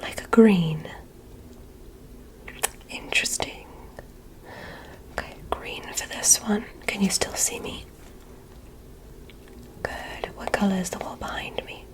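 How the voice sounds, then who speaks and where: Woman as a slow whispering alien examiner. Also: mid-range voice, poor audio. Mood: curious, gentle. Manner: soft, deliberate, clinical. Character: curious, polite alien examiner testing a human. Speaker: ASMR roleplay performer. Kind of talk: whispered ASMR roleplay.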